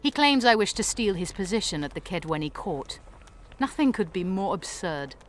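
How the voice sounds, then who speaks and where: A woman voicing a self-assured, imperious court sorceress in a video game, crisp and scornful in manner. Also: mid-range voice, sing-song, normal speed, a little hiss or hum, lightly acted. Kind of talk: video game dialogue acting. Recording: music behind the voice.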